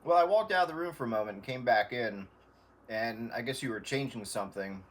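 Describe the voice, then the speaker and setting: A man in casual radio chat, explaining things in a halting, tentative way as an amateur operator. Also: mid-range voice, lively intonation, noisy background.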